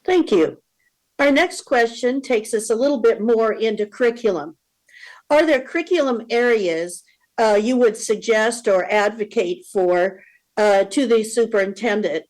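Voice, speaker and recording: Formal woman moderator, slightly high voice. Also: a little mellow, low-quality recording. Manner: formal and deliberate. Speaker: forum moderator. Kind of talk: reading prepared questions.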